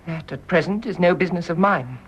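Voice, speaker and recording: A woman's voice: on the low side, fairly quick, breathy edge. A woman with a British accent playing a grave, stubborn, wounded nurse in a radio drama, speaking in a clipped, formal, emphatic way. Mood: grave, defiant. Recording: dull, muffled radio drama recording.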